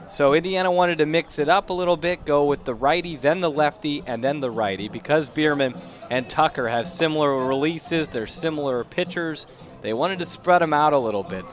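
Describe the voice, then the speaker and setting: Young man calling baseball, slightly high voice. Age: young adult. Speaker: play-by-play announcer. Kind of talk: live baseball play-by-play commentary. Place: outdoor ballpark during a live game. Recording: noisy outdoor ballpark ambience.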